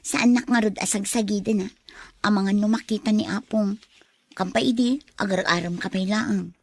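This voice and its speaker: A woman's voice: medium pitch, fairly even intonation, normal speed, gravelly, slightly rough. An actress playing a young student, a coaxing, secretive girlfriend who is after money, in a radio drama. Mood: secretive, wary.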